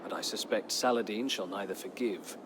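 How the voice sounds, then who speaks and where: An actor playing a weary captive Norman knight who chronicles his captivity in solemn, measured storybook narration for a strategy game. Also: fairly low pitch, noisy background, lightly acted. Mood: solemn, reflective. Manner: solemn, measured, literary delivery with dramatic pauses. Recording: studio narration over clear background music.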